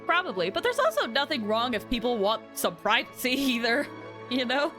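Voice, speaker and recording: A woman's voice: a little high, big pitch swings, moderate pace. A woman livestreaming a game, chatting casually and reacting in a friendly way to the story and her viewers. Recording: game music behind voice. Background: game music.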